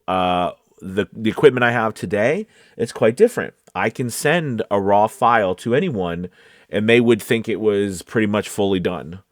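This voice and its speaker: Low-voiced man, dry studio sound. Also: no room sound. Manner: conversational, checks in with listeners often. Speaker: voice-over coach and host. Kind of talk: casual talking-to-camera tutorial.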